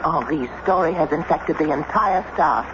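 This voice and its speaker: A woman's voice: sits low, moderate pace, dull audio, close to an everyday voice. An actress playing a poised, wry and insistent statesman's wife, articulate and teasing, in a radio drama. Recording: noisy, band-limited old radio broadcast.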